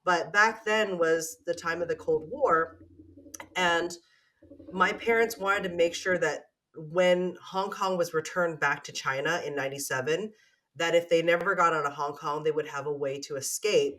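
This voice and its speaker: A woman's voice: deep voice, a bit bright. A woman in her forties from Canada, a guest on a podcast, telling her story openly in casual conversation. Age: 40s. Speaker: podcast guest, real estate investor. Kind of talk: casual interview chat.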